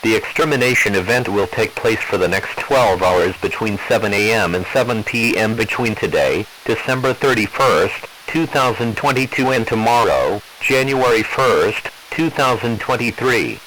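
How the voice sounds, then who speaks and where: A man reads a scripted emergency broadcast as an official announcer. His voice is fairly low and impersonal, with a faint hiss like radio behind it. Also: a bit bright, poor audio. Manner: measured, impersonal official delivery.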